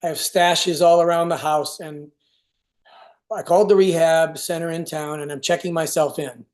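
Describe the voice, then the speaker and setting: A man playing a tired, evasive, ashamed alcoholic father in a staged play reading, speaking haltingly. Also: on the high side, steady tone, natural voice. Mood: confessing, earnest. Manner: hesitant, broken, halting phrasing.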